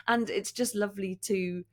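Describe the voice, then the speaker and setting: A British woman co-hosting a podcast in casual chat, telling stories in an animated, teasing way. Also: mid-range voice, a little slow. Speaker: podcast co-host. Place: room in central London.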